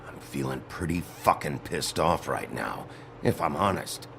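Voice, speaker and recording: A man voicing a gruff, sullen video game detective with a short temper, gravelly and curt in a sardonic way. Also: extremely low pitch, lively intonation, a little slow, noisy background, exaggerated voice. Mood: angry, sardonic.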